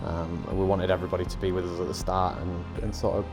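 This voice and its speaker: A man's voice: sits low, level tone, fast, music in the background. A man co-hosting a podcast in casual chat, sounding nasal from a head cold, rambling with many ums. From England. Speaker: podcast co-host.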